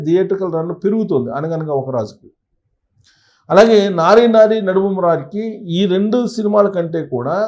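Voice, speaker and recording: A man's voice: high-pitched, a little mellow. A man presents conversational news commentary and analysis, stressing points emphatically and repeating phrases. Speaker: presenter of a film news channel.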